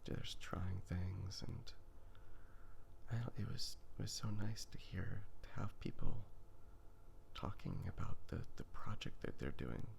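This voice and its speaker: A man speaks in a low, quiet voice that is heavily crackling with fry and often slips into a whisper. He rambles casually in an ASMR style, hesitating and trailing off as he thinks aloud. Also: expressive, a little slow. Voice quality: soft, breathy, half-whispered, creaky. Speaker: ASMR video creator and artist. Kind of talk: ASMR soft talking, rambling casual chat.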